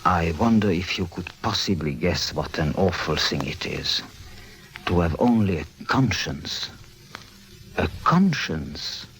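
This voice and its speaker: A man's voice: low voice, animated, slow, fairly bright tone, heavily acted. An actor playing a menacing, secretive, powerful man with a criminal past, speaking in terse, ominous commands in a 1950s radio noir drama. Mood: grave, ominous.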